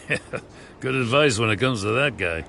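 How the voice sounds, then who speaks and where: An actor playing a plainspoken, hopeful middle-aged police commissioner in a video game, speaking earnestly. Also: male voice, medium pitch, animated, moderate pace, vocal fry, bright, forceful tone, slight background noise. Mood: friendly, frank.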